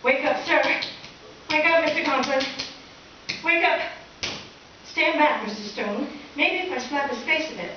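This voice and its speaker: A woman's voice: high voice, unhurried, long pauses. A woman playing a wry, exasperated schoolteacher with theatrical delivery in a comic live radio play. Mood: alarmed. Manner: dry sarcasm, theatrical alarm. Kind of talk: comic old-time radio play acting. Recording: echoey hall from far off, dull, hissy.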